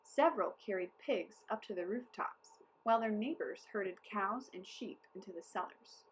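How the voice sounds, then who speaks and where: A woman reading a children's story aloud as storyteller, in an expressive, deliberate way. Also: medium pitch, slight background noise, low-quality recording.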